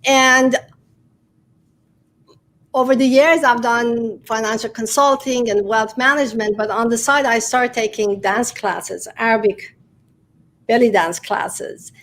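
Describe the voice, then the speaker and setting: A woman's voice: on the high side, steady tone, unhurried, fairly bright tone. A woman from Iran, a dance theater director, talking earnestly as an interview guest. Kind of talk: casual interview talk.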